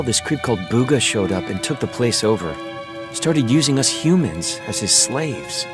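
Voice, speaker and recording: Lively young male fighter played by a man, music behind. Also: mid-range voice, natural voice. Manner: hesitant, informal, sheepish. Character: friendly humbled young male town fighter. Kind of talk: natural video game dialogue.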